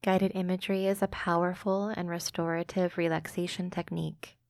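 Soothing, flat-voiced meditation guide woman. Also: fairly low pitch, gravelly, dry recording, clean studio sound. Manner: slow, soothing, gentle delivery. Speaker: meditation podcast host.

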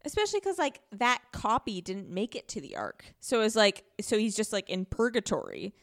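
A woman's voice: medium pitch, huge pitch swings, moderate pace, a bit bright, no echo. Woman chatting casually, close dry sound. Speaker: podcast guest. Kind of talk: casual game discussion.

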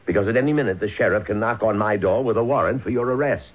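A man's voice: on the low side, fast talker, breathy edge. An actor playing a stern, scheming rich father who orders his son about, in old-time radio drama style. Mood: stern, angry. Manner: stern, commanding, impatient. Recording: muffled phone-like old radio recording.

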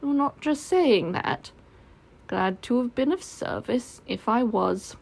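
A woman voicing a gloomy, self-deprecating male donkey in a storybook, slow, mournful and deadpan. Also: a little high, vocal fry, exaggerated voice. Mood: gloomy, dry.

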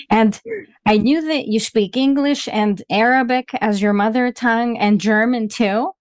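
A woman's voice: fairly high pitch, dry recording. A woman hosting an interview podcast, encouraging her guest and asking questions enthusiastically. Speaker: podcast host.

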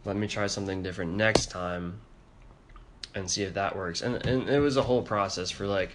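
A soft-spoken, introverted man telling a story in a casual podcast chat, hesitant, with many fillers. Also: low voice, fairly quick, background noise. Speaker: podcast co-host.

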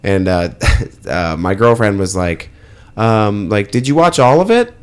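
A man's voice: on the low side, lively intonation, a little slow, smooth. A man chatting casually as a co-host on a comedy podcast, joking and telling rambling stories.

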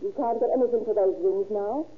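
Casting: actress as woman robbery victim, husky voice, theatrical, vintage thin-sounding radio crime play. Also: medium pitch, a little fast, lightly acted. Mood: earnest bargaining. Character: female holdup victim in a 1930s crime story. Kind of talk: 1930s radio crime drama acting. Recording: old narrow-band radio recording.

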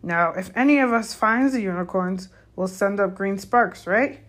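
Storybook gamekeeper, acted by a woman: big gruff man, colloquial and bossy, raised pitch, fierce with a caring streak. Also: moderate pace, fairly bright tone, lightly acted. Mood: commanding. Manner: rustic, colloquial, commanding. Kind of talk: audiobook character voice.

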